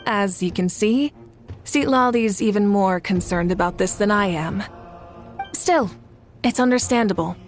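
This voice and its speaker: Assured, mid-pitched warrior leader voiced by a woman. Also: soft background music, lightly acted. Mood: reassuring. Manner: measured, assured, authoritative. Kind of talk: video game voice acting.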